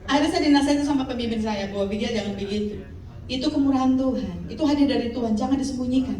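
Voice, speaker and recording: A high-pitched woman in her fifties delivers a lively sermon and keeps drawing answers from her congregation. Her voice rings through a big, echoey hall, picked up by a mic some distance away. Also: racing. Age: 50s. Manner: lively preaching with call-and-response. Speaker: pastor.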